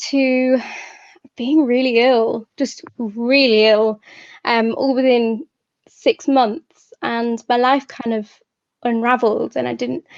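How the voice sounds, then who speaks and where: A young woman poet giving a personal talk and reading, measured, pausing between short phrases. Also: a little high, a little mellow, no echo, muffled recording. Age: young adult. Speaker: poet, guest speaker.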